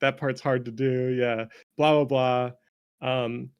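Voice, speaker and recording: Creaky-voiced young North American man, chatting casually. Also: mid-range voice, fairly even intonation, slow, dull audio. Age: late 20s to early 30s. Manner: self-deprecating, swears casually, laughs easily. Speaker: interview guest, game developer. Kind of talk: casual conversational storytelling.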